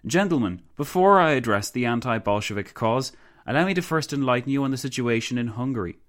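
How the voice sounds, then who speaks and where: A man's voice: medium pitch, expressive, a little fast, pitched above the performer's own voice, natural voice. Man voicing an indignant, imploring Hungarian countess. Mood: formal. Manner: formal, oratorical.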